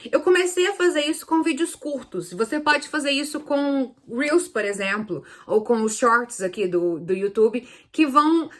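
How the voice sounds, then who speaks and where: A Brazilian woman speaks in a fairly high voice as a teacher hosting an online lesson. Her delivery is casual and explanatory. She is didactic, often repeating and rephrasing the main points so they are easy to follow. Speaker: Spanish teacher hosting a video lesson series.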